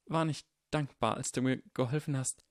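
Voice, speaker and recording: A man voicing a shy, sincere schoolboy in an audiobook, speaking in an earnest, halting, plainspoken way. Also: mid-range voice, dry recording, clean studio sound, pitched above the performer's own voice, close to an everyday voice.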